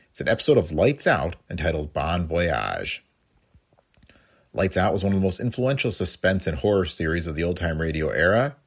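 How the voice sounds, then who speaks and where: A man's voice: deep voice, slightly rough. An American man reading scripted narration as the host of a podcast.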